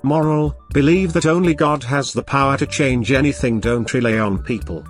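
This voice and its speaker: A man's voice: mid-range voice, normal speed. Male storyteller over faint music. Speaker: narrator. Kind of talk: scripted moral story narration.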